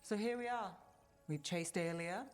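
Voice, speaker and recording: A woman's voice: fairly low pitch, normal speed, close to an everyday voice. A woman voicing a guarded, self-reliant female companion in a fantasy video game, wry and understated. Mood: wry, reflective. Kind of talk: scripted video game dialogue. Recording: game audio with music underneath.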